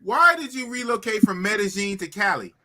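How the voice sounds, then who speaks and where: A man's voice: high voice, expressive, a little fast, bright, forceful tone. A man hosting a casual live stream in a slangy way, repeating phrases for emphasis. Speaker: podcast host. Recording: clicky, clearly noisy stream audio.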